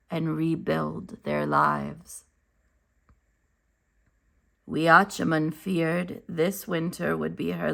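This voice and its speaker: A woman reading a children's picture book aloud as a teacher, enunciating carefully and deliberately. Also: fairly low pitch, gravelly, bright, forceful tone. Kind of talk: slow, expressive children's read-aloud narration.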